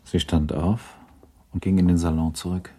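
A man narrating a literary radio play in a grave, measured, restrained manner. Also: low-pitched, steady tone, dark tone. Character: grave, restrained narrator of a 19th-century novel.

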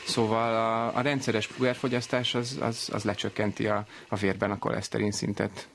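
A man's voice: fairly low pitch, level tone, creaky, fairly bright tone. A man explaining something conversationally as a guest, recorded with faint ambient noise. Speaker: studio guest.

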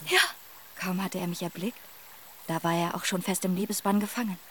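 A woman's voice: on the low side, fairly quick, a little hiss or hum, natural voice. An actress in a radio play voicing a young noblewoman who speaks of her misfortune with formal, earnest sorrow. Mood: sorrowful.

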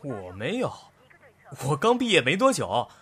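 An actor playing an earnest, loyal young vet assistant in a modern audio drama. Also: male voice, on the high side, huge pitch swings, measured pace, fairly bright tone, exaggerated voice. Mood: reassuring. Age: young adult.

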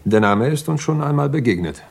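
A man's voice: mid-range voice, animated, a little fast, vocal fry, slightly rough. A male actor playing a grave police investigator in a vintage German detective radio play.

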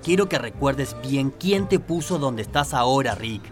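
An actor playing a bossy, exasperated political adviser, insistent and sarcastic, in a comic radio play. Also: male voice, medium pitch, expressive, moderate pace, faint music behind, natural voice. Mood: indignant. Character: exasperated, cynical male political adviser and fixer.

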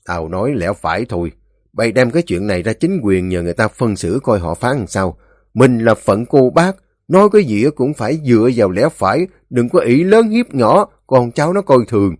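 A man's voice: medium pitch, very animated, fairly quick, a little mellow, no room sound, clean studio sound. A man voicing a fair-minded, firm old village elder in an audio story, admonishing plainly with a Southern Vietnamese accent. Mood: stern, reasoning. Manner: admonishing, plain-spoken rural speech.

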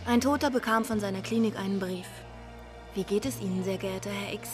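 A woman's voice: medium pitch, a little fast, over music, lightly acted. An actress in a radio play playing a poetic, aloof and teasing woman in love. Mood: teasing, dismissive. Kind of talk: poetic radio drama acting.

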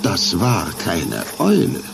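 A man narrating a children's radio play in an expressive storytelling manner. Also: low voice, slow, vocal fry. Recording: music underneath.

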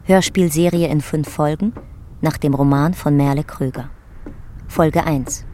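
A woman announcer reading scripted titles and credits for a radio drama in a measured, factual way. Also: sits low, steady tone, dark tone, a little hiss or hum.

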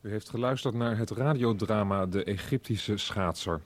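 A male radio announcer with a fairly low voice reads out scripted credits. The delivery is that of a formal programme sign-off. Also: moderate pace, dry recording.

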